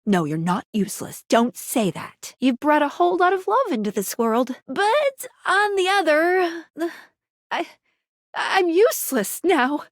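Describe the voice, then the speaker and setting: A woman playing a bubbly, quirky, playful object come to life who guides the player in a video game, with perky, expressive delivery. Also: high-pitched, a little slow, no echo, clean studio sound. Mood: wistful. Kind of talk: video game character voice lines.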